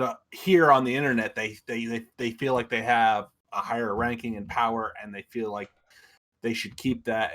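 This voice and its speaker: Low-voiced, halting man. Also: fairly bright tone. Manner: halting, repeats words and restarts often. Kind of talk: casual chat.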